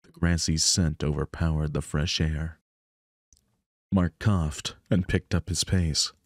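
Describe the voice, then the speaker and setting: Male narrator for story game read-through, deep voice, dry sound. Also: fairly even intonation, takes it slowly, dry recording. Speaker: narrator reading a visual novel aloud. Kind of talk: scripted narration with offhand asides.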